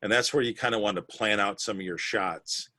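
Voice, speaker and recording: A man's voice: lowish voice, fairly quick, bright, forceful tone. Upbeat man moderating a webinar. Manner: upbeat, prompting guests with questions. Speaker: webinar co-host. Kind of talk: casual panel moderating. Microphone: video call.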